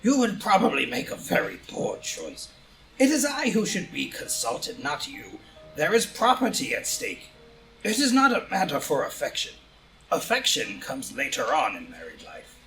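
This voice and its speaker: A man's voice: fairly high pitch, wildly swooping pitch, normal speed, music in the background, rough recording. A man playing a grumpy, pompous aristocratic old father who scolds his son in an audio drama reading of a stage comedy. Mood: blustering, stern.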